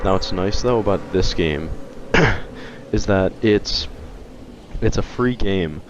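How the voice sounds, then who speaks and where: A young man with a hoarse voice from being sick, rambling through a casual opinion vlog with frequent restarts. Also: low voice, takes it slowly, dull audio, background noise. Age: young adult. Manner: rambling, hesitant, many restarts. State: hoarse from illness. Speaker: video game commentator.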